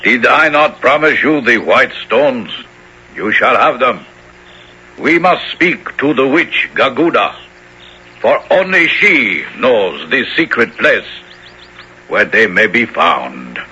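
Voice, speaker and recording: A man's voice: medium pitch, animated, slow pace, sharp tone, muffled recording, full character voice. An actor playing a noble, dignified new king in a 1970s radio drama, with formal and solemn delivery. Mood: solemn, grateful. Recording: noisy old radio broadcast recording.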